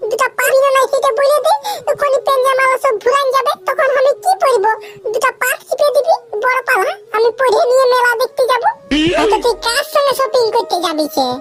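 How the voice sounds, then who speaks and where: An actor voicing a tearful, stubborn little village boy in a comic cartoon, with a Purulia Bengali accent, wailing and repeating complaints in a pitched-up voice. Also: a little fast, very noisy. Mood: whiny, insistent. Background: music.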